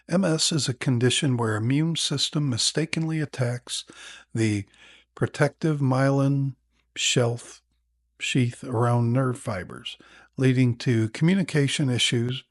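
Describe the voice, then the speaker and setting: A man acts out a male neurologist in a practice consultation. His mid-range voice is calm and attentive, explaining things in measured phrases with small hesitations. The sound is dry, with no room to it. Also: mid-range voice, no room sound, close to an everyday voice. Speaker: narrator and actor in a listening exam practice. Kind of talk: acted medical consultation for exam practice.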